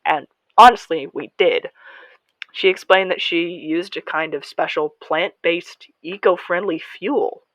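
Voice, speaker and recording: A woman playing an earnest young survivor who hosts a radio show in an audio drama, confiding reflectively in listeners with halting pauses. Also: lowish voice, dry recording, close to an everyday voice, low-quality recording. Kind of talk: first-person audio drama monologue, podcast-style confiding.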